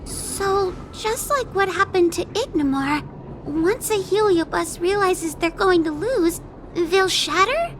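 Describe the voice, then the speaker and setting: A woman's voice: extremely high pitch, expressive, takes it slowly, slight background noise, exaggerated voice. A woman voicing a small, anxious, curious childlike companion in a video game. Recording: loud music behind.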